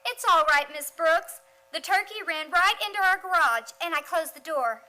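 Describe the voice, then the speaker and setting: A woman's voice: extremely high pitch, animated, normal speed, bright, forceful tone, heavily acted. A woman playing an eager, earnest, girlish teenage daughter in an old-time radio comedy, on a slightly noisy recording. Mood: earnest pleading.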